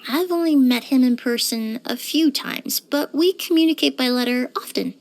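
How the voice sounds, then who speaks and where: A poised young perfumer from a video game, with a voice a little on the high side. She speaks in measured, articulate phrases with a refined, polite composure. Her delivery is that of a scripted character monologue, quietly curious and thoughtful. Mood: pleased, reflective.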